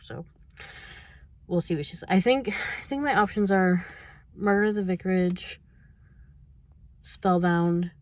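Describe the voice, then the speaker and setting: A woman's voice: lowish voice, no room sound. A young woman talking casually in a reading vlog, chatty and laughing often between her thoughts. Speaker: book vlogger.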